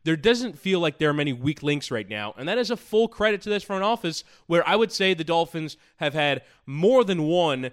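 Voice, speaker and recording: A man's voice: fairly high pitch, very animated, moderate pace, hardly any pauses, clean tone. A man co-hosting a sports podcast, talking through his analysis in a conversational style.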